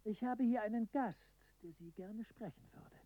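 A woman's voice: a little high, moderate pace, dry recording, lightly acted. A woman playing a caller heard on a distant intercepted phone recording in a German radio drama. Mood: guarded. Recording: distant, quiet taped phone call.

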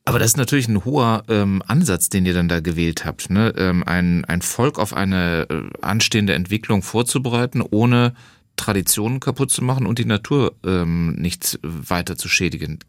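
Male radio host, low voice, dry close studio sound, probing questions with frequent fillers. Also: animated, moderate pace, no echo. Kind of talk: radio interview. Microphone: studio microphone.

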